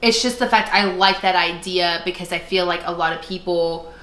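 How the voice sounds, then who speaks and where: A woman with a bright, crisp-edged voice tells personal stories in a loose, conversational way. She slips into quoted remarks as she goes. The room around her is noticeably echoey. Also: on the low side, fairly even intonation, normal speed. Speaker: podcast co-host, former art student. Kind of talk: casual chat, animated personal storytelling. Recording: roomy, echoey sound.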